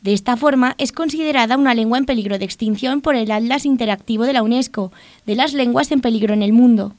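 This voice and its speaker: A woman with an accent from Spain delivers scripted radio report narration. Her voice is a bit high, in a dry recording free of room echo. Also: quick pace, a little mellow. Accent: Spanish from Spain.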